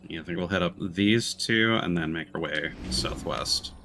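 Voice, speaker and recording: A man's voice: deep voice, moderate pace, noisy background. A man giving casual commentary on a gaming livestream, musing and thinking aloud as he goes. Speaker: game streamer.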